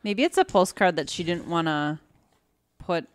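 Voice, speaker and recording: Woman co-hosting a podcast, lowish voice. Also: animated, normal speed, clear, resonant tone, no room sound. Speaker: podcast co-host. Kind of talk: casual chat between co-hosts. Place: small home podcast studio. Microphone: close podcast microphone on boom arm.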